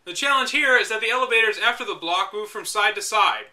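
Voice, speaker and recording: A man with a high, very bright voice reads from a book, stopping often for sardonic, exasperated remarks. His asides are dry and mocking. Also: animated, fast. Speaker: reader and commentator. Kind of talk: reading a book aloud with sarcastic commentary.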